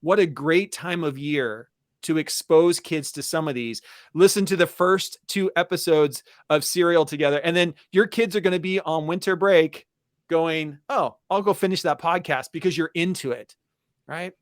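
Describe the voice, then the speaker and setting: Male webinar host, slightly high-pitched, enthusiastic and emphatic, conversational delivery, dry sound. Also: normal speed, no room sound. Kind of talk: conversational educational webinar talk.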